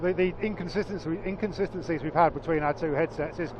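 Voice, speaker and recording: A man with a naturally loud voice, chatting casually and haltingly on a vlog, repeating words as he explains. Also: fairly high pitch. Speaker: motorcycle vlogger. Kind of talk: casual chat. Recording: dull lo-fi sound with faint traffic.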